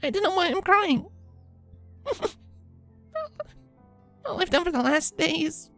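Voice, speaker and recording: A woman's voice: extremely high pitch, animated, takes it slowly, creaky, fairly bright tone, soft background music, heavily acted. A woman voicing a tiny, earnest young pony girl with a hesitant manner in an audio storybook. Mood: tearful, embarrassed.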